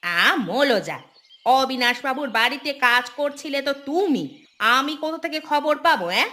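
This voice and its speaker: A woman playing a sharp-tongued, gossip-hungry village wife in a cartoon, with a brash, complaining manner. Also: high-pitched, lively intonation, moderate pace. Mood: irritated. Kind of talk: cartoon voice acting.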